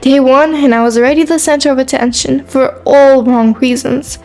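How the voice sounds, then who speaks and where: Woman playing a high-pitched, wry teenage girl. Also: fairly even intonation, moderate pace, a little mellow, soft background music. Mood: self-conscious, wry. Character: shy but sarcastic teenage girl, new at school. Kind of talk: scripted first-person story narration with acted dialogue.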